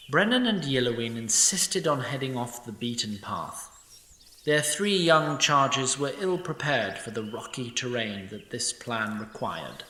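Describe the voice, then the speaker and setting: A man giving scripted narration of a fantasy audio drama with an expressive storytelling delivery. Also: mid-range voice, roomy sound, far from the mic.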